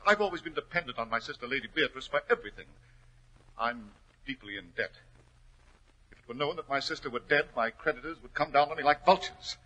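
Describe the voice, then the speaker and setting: Desperate aristocrat, forceful and dramatic. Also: male voice, medium pitch, lively intonation, a little fast, poor audio. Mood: desperate pleading. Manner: impassioned, melodramatic, pleading. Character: desperate, hot-tempered aristocrat racehorse owner, cornered and guilty. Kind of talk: 1940s radio melodrama acting. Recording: old 1940s radio recording.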